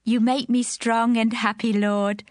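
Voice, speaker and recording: A woman's voice: a little high, level tone, unhurried, a little hiss or hum, close to an everyday voice. An actress playing a devout, grateful biblical mother, speaking earnestly in a dramatized scripture reading. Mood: reverent, exultant. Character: devout grateful mother praying, Hannah of the Bible. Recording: voice over prominent music.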